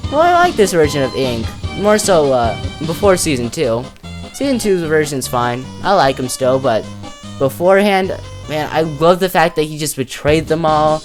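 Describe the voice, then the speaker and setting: A casual speaker giving unscripted, rambling commentary, thinking aloud with slangy, hesitant phrasing. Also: mid-range voice. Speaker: video creator presenting a character ranking. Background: music, plucked guitar.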